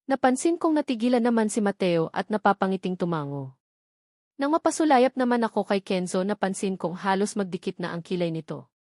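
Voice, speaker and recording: A woman's voice: medium pitch, lively intonation, brisk, darkish tone, no room sound, studio quality. A woman with a Filipino accent reading scripted first-person story narration as an audiobook narrator.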